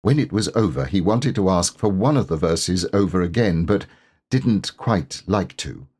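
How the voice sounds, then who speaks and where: A man narrating a children's story as an expressive audiobook reader. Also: deep voice, moderate pace, slightly rough.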